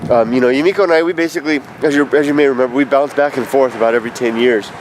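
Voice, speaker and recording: Stuffed-up fifties man, casual hesitant vlogger, recorded outdoors with clear traffic noise. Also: mid-range voice. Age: 50s. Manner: rambling, halting, with many fillers and restarts. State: stuffed up with allergies. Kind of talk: casual video message. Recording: noisy outdoor street recording.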